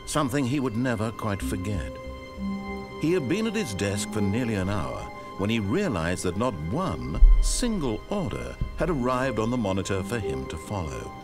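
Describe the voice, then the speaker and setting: A measured, wry storyteller who narrates with a low, richly swooping voice. Loud game music runs underneath the narration. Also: male voice. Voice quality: measured, dryly ironic delivery. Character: calm wry male storyteller narrating a man's day.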